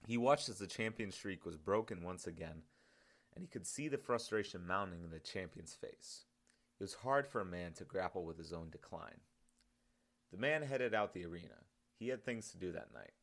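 A man's voice: deep voice, a bit bright. A man reading his own story as scripted audiobook narration.

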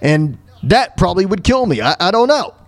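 A man hosting a show, delivering a frustrated, dejected personal rant in a halting, repetitive and emphatic way. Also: high-pitched, very animated, dry recording. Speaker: podcast host.

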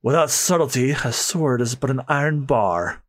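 A man voicing a cool, haughty master swordsman from a comic, measured and condescending. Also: mid-range voice, animated, lightly acted. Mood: cold, haughty.

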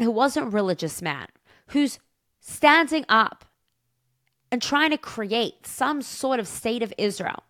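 A woman's voice: on the high side, dry recording, studio quality. A woman giving a religious lecture as a Torah teacher, impassioned and emphatic, with rhetorical questions and storytelling. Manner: impassioned, emphatic, dramatic shifts between stressed and hushed phrases. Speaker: Torah teacher giving a weekly portion talk.

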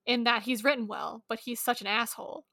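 A woman's voice: fairly high pitch, steady tone, fairly quick, creaky, sharp tone, no echo, studio quality. A woman co-hosting a podcast in a casual, informal chat about books. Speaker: podcast co-host.

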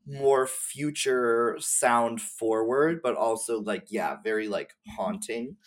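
A man's voice: medium pitch, slow. A man talking casually as a podcast guest, in an effusive, slangy way. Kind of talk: casual chat.

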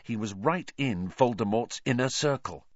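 A man's voice: mid-range voice, expressive, normal speed, no room sound, dull audio, pitched above the performer's own voice, natural voice. A man voicing a brooding, bitter teenage boy hero in a novel, abrupt and intense.

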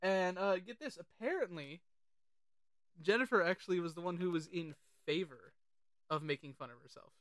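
A man co-hosting a casual film discussion, sharing trivia in a chatty, halting way and often repeating his phrases. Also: sits high, a bit bright, no echo, clean studio sound. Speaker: podcast co-host.

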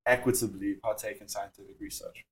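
A young man with a South African accent, a startup founder explaining his work in a podcast interview, measured and full of fillers. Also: lowish voice, fairly even intonation.

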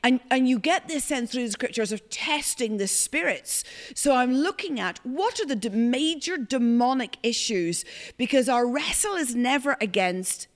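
Emphatic Irish woman host, fairly high voice. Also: expressive, normal speed. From Ireland. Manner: emphatic, preacherly, quotes scripture. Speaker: podcast host. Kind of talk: conversational teaching podcast.

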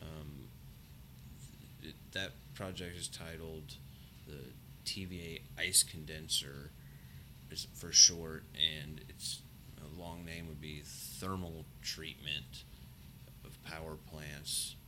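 A middle-aged engineering professor talking casually in a university lab, with a low, mumbling voice that halts and restarts. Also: male voice, little pitch movement, sharp tone, slight background noise, rough recording. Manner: halting, restarts and trails off. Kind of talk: casual conversation. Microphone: shared podcast mic.

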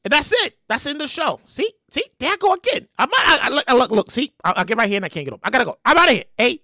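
Lively, high-voiced New podcast host. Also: racing, no echo, studio quality. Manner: animated, slangy, lots of repeats. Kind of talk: casual comedic monologue.

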